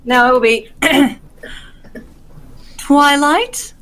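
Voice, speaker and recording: A woman's voice: high-pitched, very slow, a bit bright. A woman taking part in a casual fan discussion as one of the panelists. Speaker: podcast panelist.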